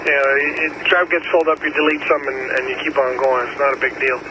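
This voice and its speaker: A man's voice: medium pitch, fairly even intonation, quick pace. A man in a laid-back, casual technical chat over a noisy ham radio repeater.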